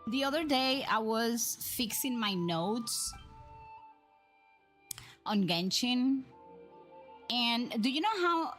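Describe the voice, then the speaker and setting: Higher-voiced woman chatting on a livestream. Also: expressive, slow, pauses often, fairly bright tone. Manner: conversational, addresses viewers with frequent tag questions. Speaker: live streamer, host. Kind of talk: casual chatty game livestream commentary. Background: background music.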